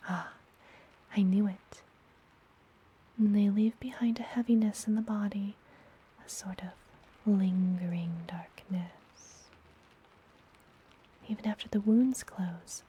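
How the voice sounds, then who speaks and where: A woman playing a friendly, mysterious witch and healer in an ASMR fantasy roleplay, whispering softly and gently with a teasing manner. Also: medium pitch, steady tone, low-quality recording. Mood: soothing, teasing. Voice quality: soft, breathy whisper. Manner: gentle, slow, playfully teasing.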